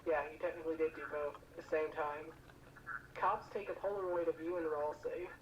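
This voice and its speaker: A woman's voice: deep voice, fairly even intonation, brisk, a little hiss or hum. A woman narrating a comic dub casually, with sarcastic, joking asides. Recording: muffled lo-fi mic with music behind. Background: music.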